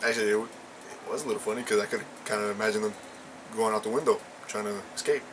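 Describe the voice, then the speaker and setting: A man's voice: lowish voice, steady tone, very fast. Slangy young man, noisy recording. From Puerto Rican and Ecuadorian family. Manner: slangy, rambling, many fillers. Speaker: co-host. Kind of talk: casual chat, storytelling.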